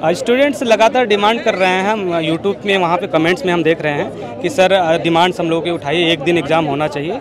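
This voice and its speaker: A man's voice: high voice, steady tone, a little fast. A man who teaches at a coaching institute, speaking earnestly in a street interview. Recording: crowd noise outdoors.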